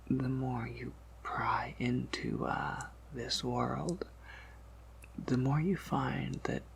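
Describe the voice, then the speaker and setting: A man playing a soft-spoken vampire apothecary in a whispered ASMR roleplay, patiently explaining in a measured, slightly wry and knowing way. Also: medium pitch, full character voice, poor audio. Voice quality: breathy whisper with creaky undertone.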